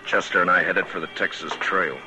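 A very deep, grim American lawman played by a man, terse and deadpan, tells his frontier story in clipped, commanding lines. It is a vintage radio western recording with music underneath. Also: fairly quick, natural voice. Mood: grim, reflective. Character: stoic, grim frontier marshal. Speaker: lead actor and first-person narrator. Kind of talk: 1950s radio western acting, scripted narration.

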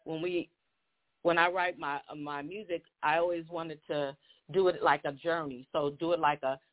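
A woman's voice: low-pitched, steady tone. Laughing woman on a tinny phone line. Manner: laughs often, halting with many ums. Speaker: guest singer. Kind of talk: casual phone interview.

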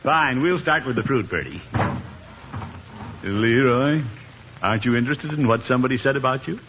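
An actor playing a pompous, self-satisfied middle-aged uncle in a 1950s radio comedy. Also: male voice, mid-range voice, expressive, normal speed, close to an everyday voice. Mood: genial. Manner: pompous, preening, chuckling. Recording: muffled, noisy 1950s radio recording.

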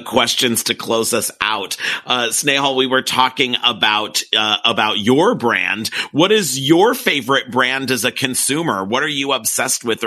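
A man's voice: mid-range voice, bright, forceful tone. A man hosting a conversational interview podcast, putting questions to his guest.

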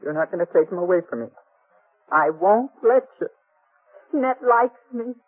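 An actress playing a desperate, defiant young frontier spinster in a 1950s radio drama, impassioned and pleading. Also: female voice, medium pitch, animated, normal speed, close to an everyday voice. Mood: bitter, defiant. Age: young adult. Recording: tinny, band-limited 1950s radio recording.

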